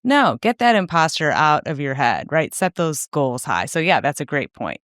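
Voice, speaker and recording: A woman's voice: low voice, sing-song, normal speed, a bit bright, no room sound, studio quality. A woman co-hosting a podcast, talking in a loose, chatty way full of casual fillers. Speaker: podcast co-host. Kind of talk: casual chat.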